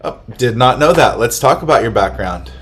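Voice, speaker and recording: A man interviewing casually, halting and restarting often. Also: medium pitch, animated, fairly bright tone.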